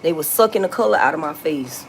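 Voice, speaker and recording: Low-voiced woman in her forties. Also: animated, quick pace, a little hiss or hum, poor audio. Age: 40s. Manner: blunt, repetitive, swearing, laughs often. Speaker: livestreamer. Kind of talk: casual live-stream chat with viewers. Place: outdoors.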